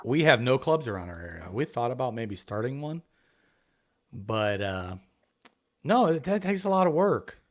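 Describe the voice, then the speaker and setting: A man from Ohio chatting as a guest on a casual live stream about metal detecting, excitable and full of restarts. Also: mid-range voice, moderate pace. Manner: excitable, rambling, many restarts.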